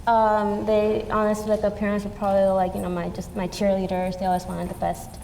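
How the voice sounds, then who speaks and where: A woman's voice: medium pitch, completely flat delivery, fairly quick, vocal fry, dark tone. A young woman from Peru, a student panelist, answering hesitantly with many fillers on stage. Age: young adult. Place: event stage in a large hall. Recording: reverberant hall with faint noise.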